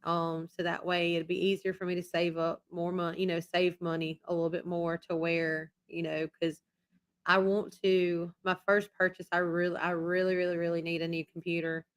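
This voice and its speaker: A woman hosting a relaxed live stream, her voice low-set and fairly level. She rambles and circles back over the same points. She is losing her voice after being sick, and it sounds a little worn. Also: normal speed. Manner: rambling and repetitive. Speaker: YouTube channel host. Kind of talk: casual live-stream chat.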